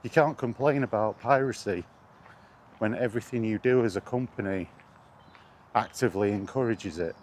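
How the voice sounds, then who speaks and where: A man's voice: on the low side, moderate pace, darkish tone, slight background noise. A man ranting indignantly in a casual spoken vlog, recorded while walking outdoors. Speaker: gaming vlogger.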